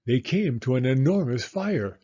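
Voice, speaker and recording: A man reading a children's picture book aloud in an expressive, animated way, as a storyteller speaking to young listeners. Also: medium pitch, normal speed, highs cut off. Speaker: storyteller, former school teacher.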